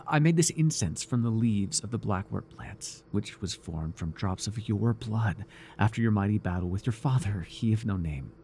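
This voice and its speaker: A man voicing a devoted, nervy, lovestruck cultist in an ASMR roleplay, earnest and halting, shifting between grand and flustered delivery. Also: fairly low pitch, animated, a little mellow, slight background noise. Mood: reverent, careful. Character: devoted, awkward young male cultist, zealous, lovestruck, nervy.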